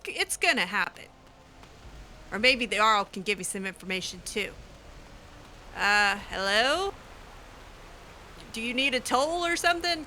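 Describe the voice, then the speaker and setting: Bright, sarcastic woman gamer. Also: medium pitch, slight background noise, low-quality recording. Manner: sarcastic, exasperated. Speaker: gamer playing in character. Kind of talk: roleplay game commentary, casual chat.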